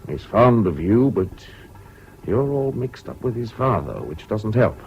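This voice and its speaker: An actor with a British accent playing a frank psychiatrist in a 1970s radio drama, blunt and matter-of-fact. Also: male voice, on the low side, sing-song, measured pace. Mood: frank, clinical. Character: blunt, frank male psychiatrist giving advice. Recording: dull, noisy old radio recording.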